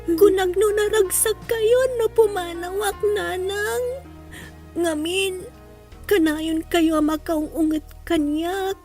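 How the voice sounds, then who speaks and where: A meek, wounded child voiced by a woman in a radio drama, heard a little away from the microphone. The voice is very high and plaintive, with an Ilocano accent, over faint music. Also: darkish tone, full character voice. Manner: plaintive, tearful. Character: hurt young child who ran away, meek and aggrieved. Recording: distant from the microphone.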